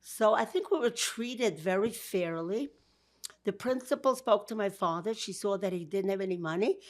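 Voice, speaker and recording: A woman's voice: mid-range voice, normal speed. Older woman with a Brooklyn accent. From Georgia. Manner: warm, with frequent laughs and restarts. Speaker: guest. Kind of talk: interview, memories.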